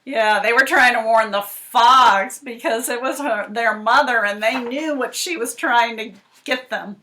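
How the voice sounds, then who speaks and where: A woman's voice: on the high side, unhurried, pushed and bright. A woman librarian talking expressively to children about a picture book, putting questions to them and responding as if they answered. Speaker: school librarian reading to children. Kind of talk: animated picture-book read-aloud and discussion for children.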